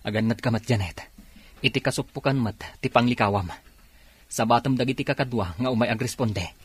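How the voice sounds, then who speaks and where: A man's voice: medium pitch, fairly quick, slightly rough, close to an everyday voice. An actor playing a commanding policeman in an Ilocano radio drama, giving directions in a Filipino Ilocano accent. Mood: urgent. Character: commanding policeman leading a response.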